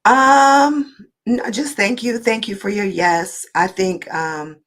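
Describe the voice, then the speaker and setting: A woman guest on a video call, sounding wheezy and hoarse, talking hesitantly with many pauses and ums. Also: medium pitch, a bit bright. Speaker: guest speaker. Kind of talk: casual online interview.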